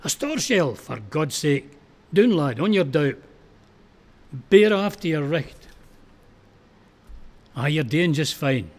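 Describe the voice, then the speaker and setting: A man's voice: on the high side, sing-song, takes it slowly, long pauses, exaggerated voice. Man acting a plucky wounded Scottish soldier. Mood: urgent, rousing. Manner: urgent, exclamatory, dogged. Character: wounded, plucky young Scottish First World War soldier. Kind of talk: dramatic poetry recital.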